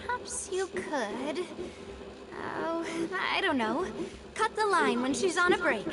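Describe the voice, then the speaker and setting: Very high-voiced, playful genteel young lady. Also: big pitch swings, slow pace, heavily acted. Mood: playful, musing. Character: confident, genteel young woman leader, playful and gracious. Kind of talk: video game character acting.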